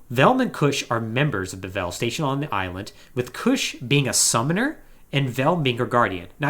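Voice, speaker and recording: An animated man hosts a gaming podcast, retelling a story in a casual recap style. His pitch moves around a lot as he talks, and he drops in wry, conversational asides. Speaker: podcast host. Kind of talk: casual plot recap commentary on a video game podcast.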